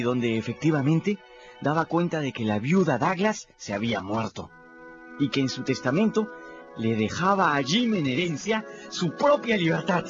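An actor playing a plain-spoken, restless runaway teenage boy who narrates his own story in a casual, folksy way, in a radio drama. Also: fairly high pitch. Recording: muffled radio play with music.

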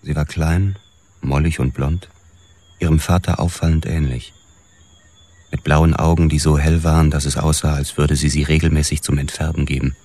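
An actor playing a world-weary police detective who narrates his own story in a measured, deadpan way for a radio drama. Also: extremely low pitch. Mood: coolly appraising.

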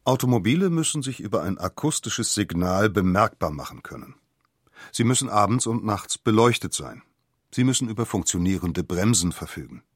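A man's voice: fairly low pitch, animated, normal speed, breathy edge, dry recording, clean studio sound. A man giving a formal scripted reading of quotations in an audio documentary.

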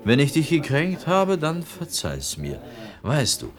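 An actor playing an earnest, pleading potter in a German radio play, with a husky voice. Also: male voice, on the high side, wildly swooping pitch, measured pace, soft background music, exaggerated voice. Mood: coaxing. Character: earnest, pleading potter obsessed with a blue pigment.

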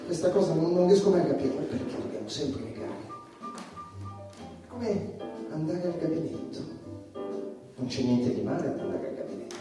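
An actor playing a provocative, irreverent male stand-up comedian in a theatrical monologue, addressing the audience with dramatic pauses and repeated phrases. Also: medium pitch, close to an everyday voice. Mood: wry, questioning. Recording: echoey room, distant mic, music behind.

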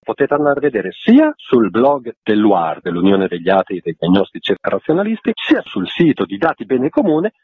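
A man's voice: mid-range voice, wildly swooping pitch, fairly quick, few pauses, slightly rough. A man explaining things in long, detailed sentences as an interviewed association spokesman, speaking over a remote call. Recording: muffled phone-like line.

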